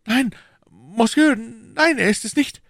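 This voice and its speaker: A timid, muddled male shopkeeper in an audiobook. His voice sits very high and swoops about. He sounds fawning and easily flustered, recorded dry. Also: sing-song, a little fast, no room sound. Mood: panicked. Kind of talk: audiobook character dialogue.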